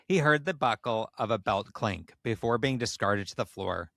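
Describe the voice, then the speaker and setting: A man reading comedic erotic fiction aloud with expressive, story-like narration, as a podcast host. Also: fairly low pitch, moderate pace, creaky, dry recording, studio quality.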